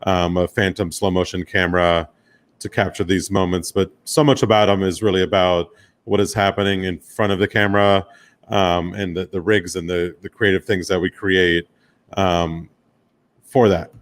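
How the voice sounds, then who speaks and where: Low-pitched American man giving a casual talk. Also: level tone, normal speed. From Miami, United States. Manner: conversational, casual, loosely phrased. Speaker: guest speaker, commercial photographer and director. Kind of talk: informal conference presentation about own career.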